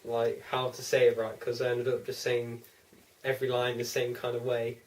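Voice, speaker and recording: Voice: mid-range voice, completely flat delivery, moderate pace, darkish tone. A young fan audio drama cast member chatting in a dry, understated way. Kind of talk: casual group chat. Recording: distant mic.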